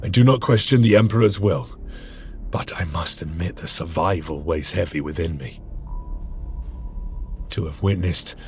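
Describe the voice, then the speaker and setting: Game role: weary, stoic veteran marine sergeant. Low voice, grave formal narration, music underneath. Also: male voice. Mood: brooding. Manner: solemn, formal, measured. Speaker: character narrator. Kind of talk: dramatic scripted game narration. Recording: dramatic music behind the voice.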